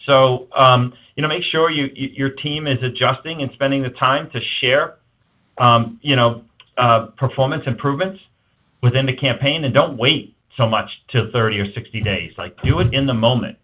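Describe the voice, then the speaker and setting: A man's voice: medium pitch, normal speed. A man speaking as an executive guest in an interview, giving emphatic, conversational advice. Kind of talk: interview answers on marketing. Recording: dull lo-fi band-limited audio.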